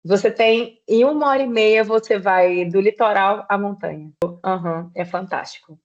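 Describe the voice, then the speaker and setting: A woman in her thirties speaks as a podcast guest at a mid pitch, explaining things in a measured, steady way. The sound is somewhat dull and lo-fi. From Espírito Santo, Brazil. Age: 30s. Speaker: guest, personal trainer. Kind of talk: podcast interview.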